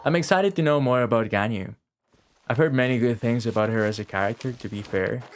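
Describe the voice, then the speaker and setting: A man's voice: mid-range voice, fairly quick, a little hiss or hum. A man chatting casually on a game live stream, rambling and repeating phrases as he thinks aloud.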